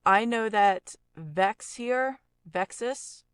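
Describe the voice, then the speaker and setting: A woman giving a casual audio software tutorial, explaining informally and thinking aloud as she works. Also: medium pitch, very slow, clean tone, no room sound, studio quality.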